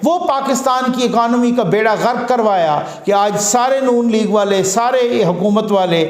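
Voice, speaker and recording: A man's voice: extremely high pitch, moderate pace, reverberant. A man from Pakistan delivering a political commentary monologue, earnest and rhetorical, building his points through repeated phrases. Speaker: news commentator.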